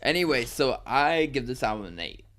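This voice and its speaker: A man in his twenties co-hosting a podcast, chatting casually and swearing freely. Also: mid-range voice. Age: 20s. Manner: animated, swearing, quick laughs. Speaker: podcast co-host. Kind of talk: casual chat.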